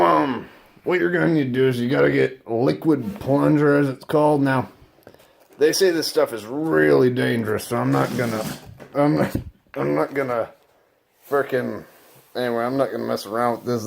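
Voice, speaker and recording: A man with a heavily gravelly, fry-laden voice hosts a casual homemade how-to. His delivery is halting and unsure, rambling along and often trailing off. The pitch sits in an ordinary male middle range. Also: steady tone, a little hiss or hum. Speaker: host of a DIY video. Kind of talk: casual, improvised how-to demonstration.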